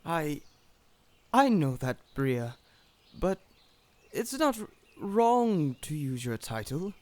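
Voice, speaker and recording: A man's voice: fairly high pitch, big pitch swings, slow, darkish tone, no echo. A man playing a shy, hesitant, stammering young alchemist in a fantasy audio drama. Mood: flustered.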